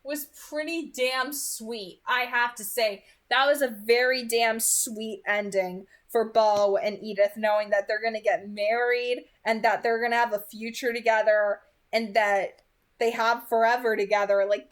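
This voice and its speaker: A woman giving casual fan commentary on a book, in a gushing, emphatic and informal manner. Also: a little high. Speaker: amateur book reader and reviewer. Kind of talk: read-aloud narration and casual fan commentary.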